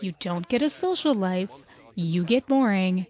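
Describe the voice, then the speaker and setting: A woman's voice: medium pitch, expressive, measured pace, lightly acted. A young woman playing a blunt teenage girl who lectures her friend, in an audio drama. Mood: lecturing. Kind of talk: amateur audio drama acting. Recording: muffled lo-fi recording.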